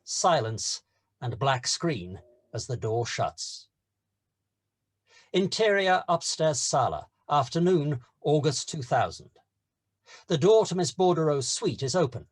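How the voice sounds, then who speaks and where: A man narrating the stage directions of a screenplay at a table read, steady and descriptive. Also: fairly high pitch, takes it slowly, breathy edge, dry recording, studio quality.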